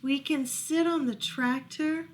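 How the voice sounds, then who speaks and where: A woman reads as an eager young chick from a picture book. The high voice takes its time, gently persuading and encouraging a friend. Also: fairly even intonation, takes it slowly, noisy background. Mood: eager, coaxing.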